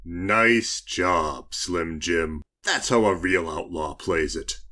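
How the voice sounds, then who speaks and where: A man's voice: deep voice, takes it slowly, sharp tone, voice pushed down, exaggerated voice. Guy doing a smug tough fbi man in an audiobook, dry, talking down to people. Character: squat, smug, tough male FBI agent. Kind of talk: audiobook character dialogue.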